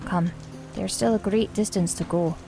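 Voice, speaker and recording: A woman playing a gentle, caring, soft-spoken female forest ranger in a fantasy audio drama. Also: mid-range voice, fairly even intonation, normal speed, creaky, music in the background, close to an everyday voice. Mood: caring, urgent.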